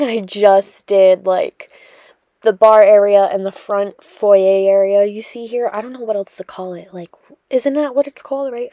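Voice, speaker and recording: A woman's voice: on the high side, warm tone, no echo. Chatty young woman, dry close voice-over. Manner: chatty, rambling, lots of fillers. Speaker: cleaning vlogger. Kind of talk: casual voice-over narration.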